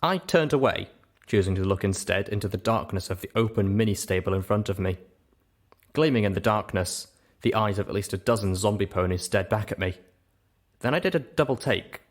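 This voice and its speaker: A man's voice: fairly low pitch, brisk. A man narrating a story expressively as host of a reading show.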